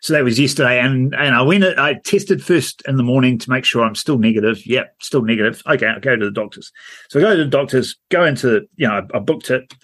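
A man with a croaky voice from being ill, co-hosting a podcast and telling stories in a rambling, self-mocking way. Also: mid-range voice, fast talker, a bit bright. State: croaky from illness. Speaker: podcast co-host. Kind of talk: casual storytelling chat.

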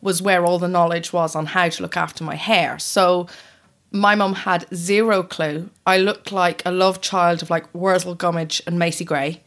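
A woman's voice: on the low side, fairly even intonation, moderate pace, a bit bright. A woman in her thirties with a mixed English and Irish accent, telling family stories in casual chat and laughing often. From born in the US, raised in England and Ireland.

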